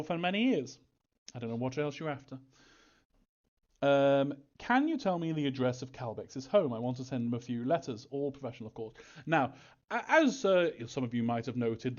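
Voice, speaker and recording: Male game developer for casual Q&A, mid-pitched, hesitant and chuckling, slightly muffled lo-fi recording. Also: a little mellow. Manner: hesitant, chuckling, evasive about story details. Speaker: game developer answering fan questions. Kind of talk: casual audience Q&A, reading questions aloud and answering. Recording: dull, lo-fi audio.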